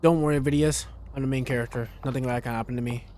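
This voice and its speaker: A man's voice: medium pitch, steady tone, quick pace, mellow. A man livestreaming casual, slangy, irreverent reactions to a game. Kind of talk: casual gaming reaction commentary. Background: faint game music.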